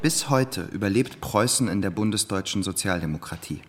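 A man's voice: lowish voice, lively intonation, brisk, music in the background, natural voice. An actor playing an argumentative man in a radio drama, explaining in short, pointed statements.

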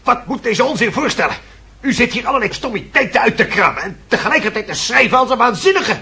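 Male scornful, hot-tempered nobleman for radio play: raised pitch, forceful raspy edge, haughty bluster. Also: lively intonation, fast talker, heavily acted. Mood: angry, exasperated. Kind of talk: period radio drama acting.

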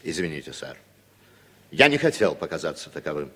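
An actor playing a wry, educated middle-aged playwright, measured and courteous, in 1960s Soviet radio drama style. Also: male voice, a bass voice, very animated, fairly bright tone, full character voice. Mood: politely defiant.

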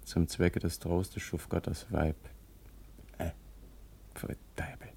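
An actor playing a cynical, remorseful grown son in a brooding monologue from a 1950s German radio drama. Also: male voice, sits low, fairly even intonation, slow, creaky, dark tone, a little hiss or hum, heavily acted. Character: cynical, remorseful grown son watching his failing father.

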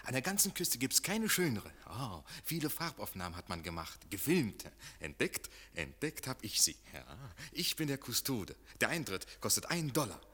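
A man's voice: medium pitch, big pitch swings, normal speed, hardly any pauses, fairly bright tone, slightly rough. A man in a German radio play acting a worldly boatman and grotto guide, coaxing, servile yet commanding. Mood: guiding, boastful.

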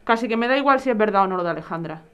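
A woman's voice: medium pitch, lively intonation, very fast, clear, resonant tone, muffled recording, lightly acted. A woman playing a resolute, fed-up wife leaving her husband in an audio drama. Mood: firm, fed up.

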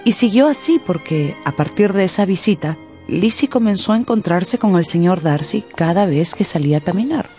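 Mellow-voiced woman narrating a radio drama. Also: on the low side, expressive, moderate pace, soft background music.

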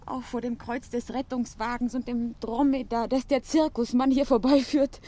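A woman's voice: on the high side, little pitch movement, normal speed, warm tone, close to an everyday voice. An actress playing a submissive young woman desperately in love in a radio play, speaking with solemn, yielding fervour. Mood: fervent. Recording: noisy old radio play recording.

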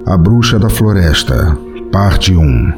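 A man giving a theatrical, scripted narration of a dark fantasy tale as a storyteller. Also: low voice, expressive, slow. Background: music.

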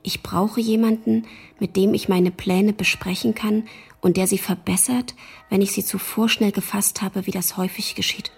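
Fretful affectionate sister, extremely breathy, crackly fry, half-whispered, intimate radio drama reading. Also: female voice, mid-range voice, steady tone, moderate pace, darkish tone. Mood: wistful. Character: worried, affectionate sister reading her explorer brother's letters.

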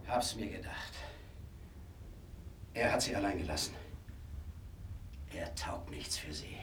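Radio drama rogue: deep voice, ardent, boastful, coaxing, light noise behind. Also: male voice, lively intonation, takes it slowly. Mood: eager. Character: brash, infatuated rogue wooing a woman with intense devotion. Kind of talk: German radio drama acting.

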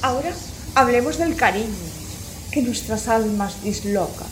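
High-voiced, lovestruck young noblewoman. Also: animated, a little slow, background noise. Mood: fretful, tender. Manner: gushing, theatrical, declamatory. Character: lovestruck, melodramatic young noblewoman, count's daughter. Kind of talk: comic verse radio theatre. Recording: roomy echo.

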